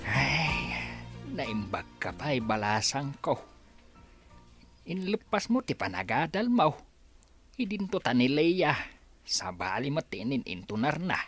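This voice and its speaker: A man's voice: medium pitch, sing-song, takes it slowly, long pauses, fairly bright tone, husky, soft background music, heavily acted. A man with a Filipino accent playing a stern, judgmental village father in a radio drama. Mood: reflective, judging. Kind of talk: Ilocano radio drama acting.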